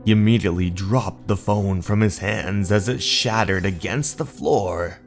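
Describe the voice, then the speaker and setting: A man narrating a scripted horror story in a deliberate, suspenseful, dramatic manner over music. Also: on the low side, gravelly. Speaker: narrator of horror manga stories. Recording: music under the voice.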